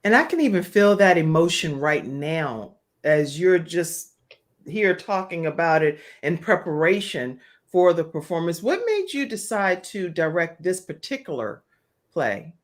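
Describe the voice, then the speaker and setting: A woman's voice: lowish voice, sing-song, a little slow. An American woman hosting a live online talk show in an enthusiastic, welcoming manner.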